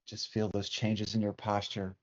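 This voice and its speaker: A man with a fairly low voice guides a meditation in a soothing, unhurried manner. He leaves long pauses between phrases, like a teacher leading breathing practice. The recording is somewhat dull and lo-fi. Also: completely flat delivery. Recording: dull, muffled lo-fi sound.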